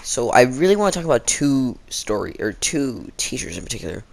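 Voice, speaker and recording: Teenage male, casual storytime vlogger, mid-pitched, halting and slangy, throat a bit sore. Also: very animated, creaky. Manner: halting, informal, slangy, dramatizing quotes. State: sore throat, frequent throat clearing. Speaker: video storyteller.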